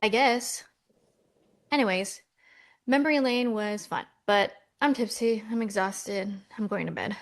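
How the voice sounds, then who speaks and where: A woman's voice: mid-range voice, measured pace, close to an everyday voice. A woman playing a skeptical, practical millennial twin sister from New York in a romcom table read. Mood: tired, dismissive.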